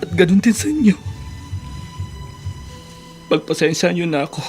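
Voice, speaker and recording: A man's voice: sits high, very animated, moderate pace, darkish tone. An actor playing a despairing, humbled injured man in an emotional, pleading radio drama style. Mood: humbled, grateful. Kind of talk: Tagalog radio drama acting. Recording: radio drama with music bed.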